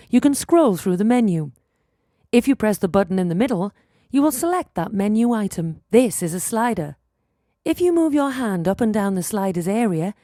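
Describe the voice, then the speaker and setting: A woman reading scripted tutorial narration for a game, giving instructions in a clearly enunciated way. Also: medium pitch, warm tone, dry recording, clean studio sound.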